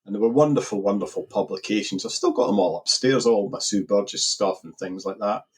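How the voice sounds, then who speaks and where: A middle-aged man with an Edinburgh Scottish accent talks with a slightly husky, somewhat low voice. He rambles through his stories in a relaxed podcast interview, often repeating words and circling back as he goes. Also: fairly quick. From Scotland. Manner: rambling, repeats words while searching for them. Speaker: guest angler.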